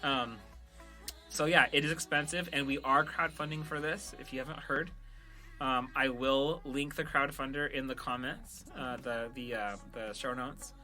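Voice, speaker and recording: A man's voice: mid-range voice, fairly even intonation, moderate pace, sharp tone. A man hosting a podcast in a casual, earnest and enthusiastic way, talking directly to listeners. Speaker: podcast host and audio drama creator. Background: background music.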